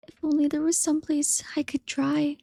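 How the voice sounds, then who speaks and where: A woman's voice: high-pitched, monotone, moderate pace, vocal fry, no echo, clean studio sound, voice pushed up. A woman voicing a sad, lonely young male duckling in a whispered storybook reading. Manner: soft whispery, sorrowful.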